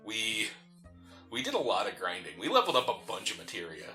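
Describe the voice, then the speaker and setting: A man's voice: lowish voice, huge pitch swings, brisk, pushed and bright. A man co-hosting a gaming let's play, chatting casually with joking, teasing banter. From a city, with mountain family roots. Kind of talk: casual chat, game commentary. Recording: roomy echo, faint game music behind. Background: faint game music.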